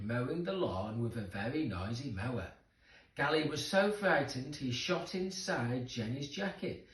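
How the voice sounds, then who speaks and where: A man narrating a children's story expressively, with dramatic emphasis for young listeners. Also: mid-range voice. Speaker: storybook reader. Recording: roomy echo, distant microphone.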